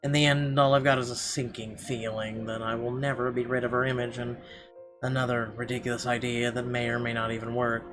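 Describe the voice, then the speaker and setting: A man with a mid-pitched voice reads story narration aloud in an expressive, dramatic way. He stumbles now and then, and guitar music plays clearly behind him. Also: brisk. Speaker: gamer reading a visual novel aloud.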